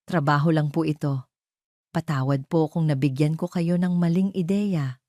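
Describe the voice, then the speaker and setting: Woman doing a weary young maid in an audio drama, curt and polite, cold, mellow dry sound. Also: sits low, steady tone, normal speed, no room sound, natural voice. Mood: guarded, curt. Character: guarded, weary young woman servant hiding a secret.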